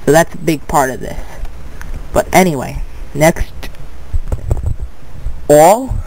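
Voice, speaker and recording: A man's voice: fairly high pitch, animated, slow, a little mellow, slight background noise, poor audio. A young man with a stuffed-up nose, casually talking viewers through a screen-recorded tutorial, rambling and restarting his words. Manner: rambling, repeats "but anyway", restarts words. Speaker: tutorial video maker.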